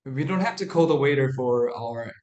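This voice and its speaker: A young adult man with a Korean accent, a singer and guest answering interview questions in halting English with short replies. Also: medium pitch, lively intonation, a little mellow, reverberant, dull audio. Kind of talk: casual interview chat. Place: radio studio.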